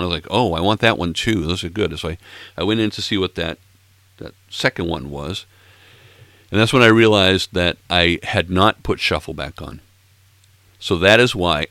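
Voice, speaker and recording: Very deep gravelly man in his sixties. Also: sharp tone, no echo. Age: 60s. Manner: playful, self-mocking, rambling asides. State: slightly hoarse, froggy throat. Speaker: music podcast host. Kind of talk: casual chat between tracks.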